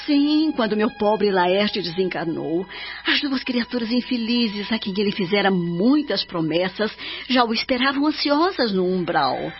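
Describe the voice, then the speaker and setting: A Brazilian woman acting a serene, devoted spirit mother in a radio drama, speaking in a solemn, measured way. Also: mid-range voice, expressive. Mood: sorrowful, grave. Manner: solemn, measured, edifying delivery. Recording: dull lo-fi audio under music.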